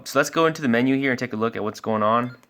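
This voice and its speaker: A man's voice: mid-range voice, rapid-fire, fairly bright tone. A man giving a casual product review and walkthrough as a sales expert, explaining things informally step by step.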